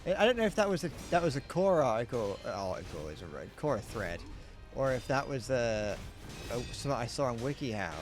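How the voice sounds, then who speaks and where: A man's voice: medium pitch, big pitch swings, fairly quick, soft background music. A young man streaming a game, chatting casually in a rambling, self-deprecating way that keeps trailing off mid-sentence. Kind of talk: casual chat while gaming.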